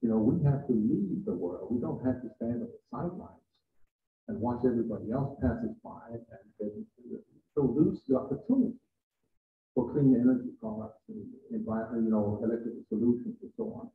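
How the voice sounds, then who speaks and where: A man's voice: very animated, fairly quick. A man from Denmark giving a conversational university presentation, asking the audience questions as he goes. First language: Danish. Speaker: guest presenter. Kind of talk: lecture presentation. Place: lecture room at a university. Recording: distant, roomy, tinny with faint rumble.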